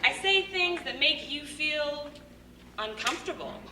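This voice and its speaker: A young American woman delivers a theatrical monologue on stage, assertive and emphatic, in punchy statements with pointed pauses. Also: high voice, lively intonation. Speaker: monologue performer. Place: stage at a live show. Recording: echoey hall from far off, faint noise.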